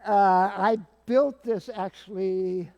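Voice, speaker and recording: A man's voice: high-pitched, slow pace, creaky, warm tone. An older man casually explaining and demonstrating things as a guest. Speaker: guest, theater sound-effects practitioner.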